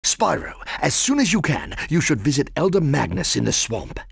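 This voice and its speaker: An elderly dragon mentor from a cartoonish video game, friendly and given to rambling. The voice is husky and cracked with gravel, swooping wildly in pitch as it meanders along. It is recorded close and dry. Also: male voice, no echo, full character voice. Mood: concerned. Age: older. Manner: meandering, expressive, drawn-out delivery. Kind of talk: video game character dialogue.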